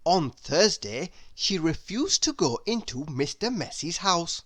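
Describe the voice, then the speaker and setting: Slow, expressive woman reading a storybook. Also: fairly low pitch. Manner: measured, expressive read-aloud delivery. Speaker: storybook reader. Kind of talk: scripted children's story narration.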